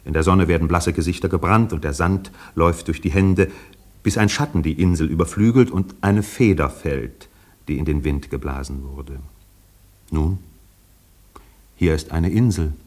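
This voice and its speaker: An actor playing a grave, reflective narrator of an island tale, measured and lyrical, in a literary radio play. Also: male voice, low voice, darkish tone. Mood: resigned, then exhorting.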